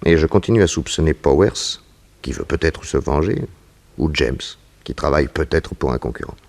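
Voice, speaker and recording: A man playing a calm, self-assured private detective in a 1960s French radio drama, composed and reassuring. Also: rumbling low, moderate pace, creaky, full character voice. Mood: explaining. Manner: composed, reassuring, probing with short questions.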